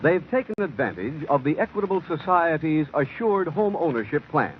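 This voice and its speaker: A man's voice: on the high side, big pitch swings, normal speed, a little hiss or hum. An American man announcing a sponsored radio show in a formal 1940s broadcast style. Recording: tinny, band-limited 1940s radio recording. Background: music.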